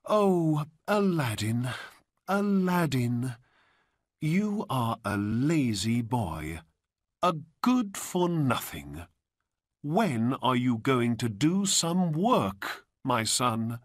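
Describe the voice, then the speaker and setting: A man's voice: mid-range voice, big pitch swings, drawn-out words, no echo, clean studio sound, voice pushed up, full character voice. A man voicing a weary, scolding mother in a storybook, with a plaintive, nagging manner. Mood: exasperated.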